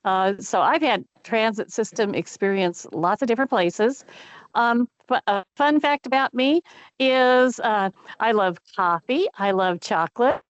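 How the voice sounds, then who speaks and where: A woman's voice: a little high, a little slow, no echo, dull audio. An older retired woman chatting through introductions as committee chair on a call. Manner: chatty, friendly, with fillers. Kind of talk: meeting introductions.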